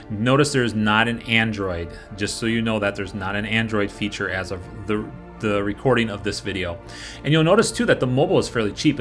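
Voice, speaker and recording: A man presenting a casual software review, explaining things informally and speaking straight to the viewer. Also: on the low side. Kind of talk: casual product walkthrough over screen capture. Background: background music.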